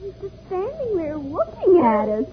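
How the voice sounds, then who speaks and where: An actor playing an excitable, eager young boy space adventurer in a 1950s children's radio serial. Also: extremely high pitch, normal speed, exaggerated voice. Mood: excited. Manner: exclamatory, eager. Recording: noisy, narrow-band old radio recording.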